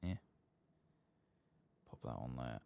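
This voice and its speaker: A soft-spoken man giving unhurried ASMR gameplay commentary, murmuring to himself and sometimes dropping toward a whisper, close to the microphone. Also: a bass voice, sing-song, vocal fry. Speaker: gaming streamer.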